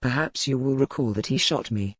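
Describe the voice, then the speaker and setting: An actor playing a dangerous, brooding pirate earl in a romance audiobook, calm and measured, with formal old-fashioned phrasing. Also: male voice, mid-range voice, breathy edge, dry recording, clean studio sound, natural voice. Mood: cool reproach. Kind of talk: dramatized romance audiobook dialogue.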